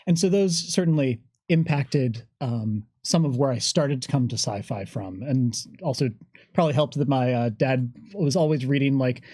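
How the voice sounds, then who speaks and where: A man chatting casually as an interview guest, an animated storyteller who acts out bits of dialogue. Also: mid-range voice, moderate pace, dry recording. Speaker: guest, game writer. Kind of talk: casual anecdotal chat.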